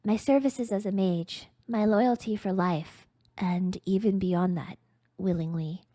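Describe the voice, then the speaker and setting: A woman's voice: medium pitch, measured pace, mellow, no echo, lightly acted. A woman acts out an eager mortal mage from a fan fiction tale, nervous and besotted. The mage pleads with reverence in a close, dry recording. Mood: earnest. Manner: stuttering, reverent.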